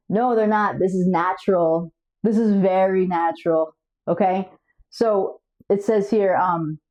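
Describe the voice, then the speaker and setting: A woman's voice: medium pitch, a little mellow, rough recording. A woman hosting her own channel, talking casually to camera about raising kids with several languages, often restarting words and checking her notes. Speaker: language coach and channel host.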